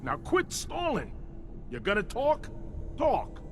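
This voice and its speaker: An actor playing a boastful, tough-talking gangster boss with a sentimental streak in a video game, using old-time mobster slang. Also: male voice, very high-pitched, wildly swooping pitch, takes it slowly, background noise, exaggerated voice. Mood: gruffly nostalgic. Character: blustering gangster boss, male, sentimental under the swagger.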